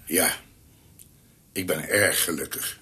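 An actor playing a kindly, frail older master in a Dutch radio drama, speaking in a halting, fatherly way with a raspy voice. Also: sits low, pushed and bright, exaggerated voice. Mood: moved, glad.

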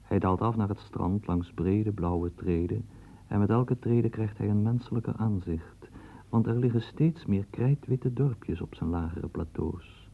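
Gravelly deep-voiced male radio narrator. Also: unhurried, warm tone. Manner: evocative storyteller guiding the listener. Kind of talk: scripted radio drama narration. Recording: tinny lo-fi old radio recording.

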